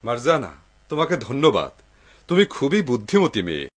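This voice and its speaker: A man's voice: mid-range voice, huge pitch swings, normal speed, breathy edge. A man voicing a kindly, trusting older householder in a cartoon. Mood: grateful. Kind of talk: dubbed cartoon acting.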